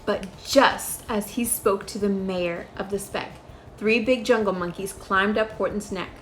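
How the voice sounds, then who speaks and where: A woman reading a rhyming children's story aloud in an expressive, measured storytelling style. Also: medium pitch, faint music behind, poor audio.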